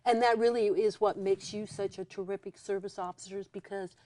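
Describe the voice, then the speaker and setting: A woman's voice: medium pitch, steady tone, a little fast, mellow, no room sound. A woman interviewer with a mid-range voice asks questions and voices agreement in an engaged, encouraging way. The recording is close and dry. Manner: engaged, affirming.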